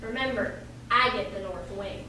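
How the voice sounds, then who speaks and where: A woman's voice: mid-range voice, normal speed, natural voice. A woman playing a haughty, dismissive former mean girl in a radio drama. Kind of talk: stage radio drama acting. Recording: very echoey hall, distant mic, clear noise.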